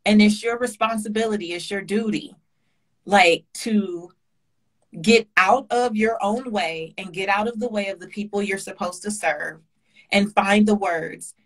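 A woman's voice: medium pitch, measured pace, rough recording. A woman guest on a video-call interview, speaking in a passionate, preachy way and stacking repeated phrases for emphasis. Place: home kitchen indoors. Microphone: webcam on a video call.

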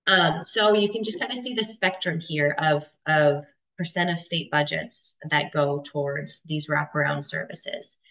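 A woman in her thirties presenting charts on a video call, stuffy from a cold, with halting speech full of ums and restarts. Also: sits low, gravelly, fairly bright tone. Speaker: webinar presenter. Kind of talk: explaining data charts in a webinar. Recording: dull lo-fi video call audio.

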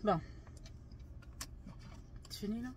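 A woman's voice: takes it slowly, a little hiss or hum. A woman in her forties with a Quebec French accent, chatting and giggling through vlog intros in a parked car. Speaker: travel vlogger. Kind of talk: casual chat. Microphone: phone camera on the dashboard.

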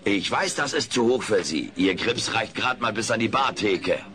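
An actor playing a wisecracking, vain, washed-up alien comedian in a comic radio play, with a sarcastic, self-important manner. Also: male voice, lowish voice, lively intonation, moderate pace, bright, forceful tone.

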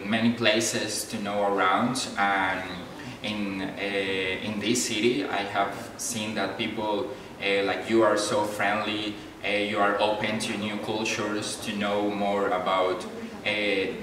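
Young Colombian student, low flat voice, hesitant, far mic. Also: male voice. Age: 20s. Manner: hesitant, many "eh" fillers. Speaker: exchange student. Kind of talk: introduction to camera. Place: office with flags and trophies, indoors. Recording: very echoey room, distant mic, noisy.

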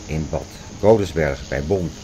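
A man's voice: extremely low pitch, measured pace, mellow, a little hiss or hum. An actor playing a fervent man expounding apocalyptic theories in a radio play, insistent, didactic and declamatory. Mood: excited, insistent. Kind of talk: radio play dialogue, insistent lecturing and reciting.

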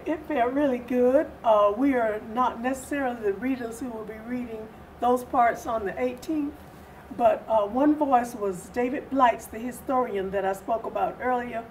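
A woman's voice: fairly high pitch, normal speed, darkish tone. An older woman chatting as a guest in an interview. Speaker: guest, readers theatre presenter. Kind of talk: interview chat. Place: small furnished living-room style TV set indoors. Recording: distant mic, hissy room noise.

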